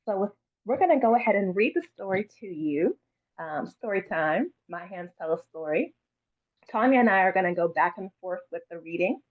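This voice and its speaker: Female children's author, expressive storytelling delivery, mid-range voice, tinny band-limited recording. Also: medium pitch, normal speed. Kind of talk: read-aloud storytelling and informal introduction.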